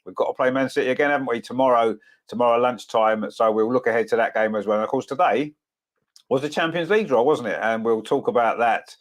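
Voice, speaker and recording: A man with a cold, sounding croaky as he hosts a casual football chat show, full of "of course" and tag questions. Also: mid-range voice, fast talker. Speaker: podcast host.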